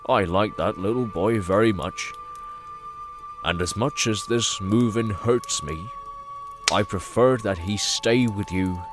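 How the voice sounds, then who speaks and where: A gruff but good-hearted old hobbit patriarch, played by a man, weighty and very slow. His voice sits a little low, with music behind it in a storybook drama. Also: lightly acted.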